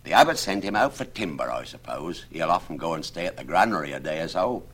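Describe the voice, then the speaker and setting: A man playing a quick, offhand monk. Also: low voice, big pitch swings, a bit bright, breathy edge, heavily acted.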